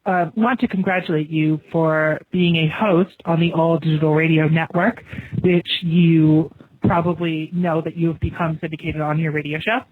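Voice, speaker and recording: A man leaving a sarcastic, halting voicemail for a radio show. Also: on the high side, little pitch movement. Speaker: voicemail caller, radio host. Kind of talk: voicemail message. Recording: tinny phone voicemail.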